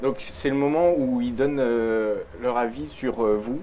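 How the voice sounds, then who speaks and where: A man's voice: medium pitch, normal speed, noisy background. A man hosting a live talk show on a boat, hesitant and full of fillers. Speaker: host.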